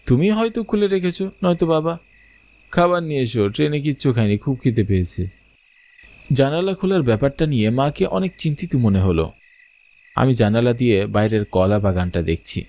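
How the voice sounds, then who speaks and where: A man's voice: medium pitch, animated, a little fast, mellow, close to an everyday voice. A young man playing a grown son who tells his own eerie village ghost story in dramatic, suspenseful scripted narration and dialogue. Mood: curious.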